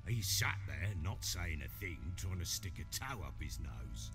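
Casting: gruff, jovial, world-weary baron for fantasy game; low, very varied delivery, music underneath. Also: male voice, big pitch swings, a little slow, a bit bright, exaggerated voice. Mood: wry storytelling. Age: middle-aged. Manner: earthy, storytelling. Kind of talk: video game dramatic dialogue.

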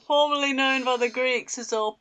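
A woman's voice: high-pitched, fairly even intonation, moderate pace, a bit bright. A woman co-hosting a podcast, chatting and bantering casually with friends. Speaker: podcast co-host.

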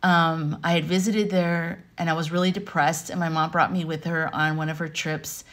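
An American woman in her thirties or forties from Arizona, chatting to camera at home in an animated, rambling, conversational way as she tells personal stories. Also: deep voice, moderate pace. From Arizona, United States. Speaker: vlogger and life coach. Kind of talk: casual personal storytelling to camera. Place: home room with wooden beams, indoors.

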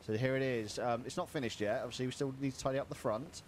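A young male streamer talks in a relaxed, joking way, showing viewers around a build as he plays. His voice is mid-pitched, with a faint hiss under it. It was recorded on a desk mic in a small room at home. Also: quick pace. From United Kingdom. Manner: joking, chatty tour guide. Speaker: streamer co-host. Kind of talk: casual game stream chat and tour.

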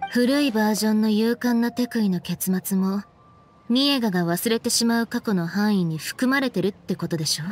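A woman playing a cool, laid-back, sharp-witted young woman with a casual, blunt manner in an anime game. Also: mid-range voice, slight background noise, close to an everyday voice. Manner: casual, blunt, unhurried, pointed questioning. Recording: background music under the voice.

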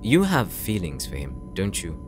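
An actor playing an earnest, insecure young knight in an audio roleplay, speaking gently and hesitantly, as if confiding in his sister. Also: deep voice, huge pitch swings, measured pace, music in the background, exaggerated voice. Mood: apologetic, sheepish. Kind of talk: audio roleplay drama, intimate monologue.

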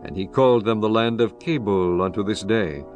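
A man narrating dramatized scripture in a solemn, measured, declamatory way, as a scripted reading. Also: fairly low pitch, darkish tone. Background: music bed.

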